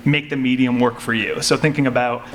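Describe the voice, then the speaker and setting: A man's voice: medium pitch, steady tone, brisk. Man on a panel, noisy room. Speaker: panelist, audio drama creator. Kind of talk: explanatory panel talk. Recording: noisy live convention room.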